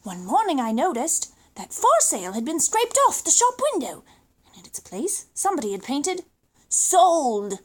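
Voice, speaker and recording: High, very animated British woman reading a story. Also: normal speed. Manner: theatrical, dramatic emphasis. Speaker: storyteller reading a children's book aloud. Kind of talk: expressive children's story reading.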